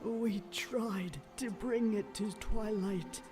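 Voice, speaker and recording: Woman as a slow, rasping, rot-possessed dying zebra. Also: medium pitch, steady tone, far from the mic, music in the background, close to an everyday voice. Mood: weary, sorrowful. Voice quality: raspy, wheezing whisper. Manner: halting, slow, labored.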